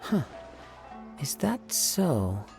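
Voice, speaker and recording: A man's voice: fairly high pitch, very animated, very slow, gravelly, darkish tone, soft background music, full character voice. An actor playing a reserved, polite young wandering swordsman in a video game, formal and understated. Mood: thoughtful.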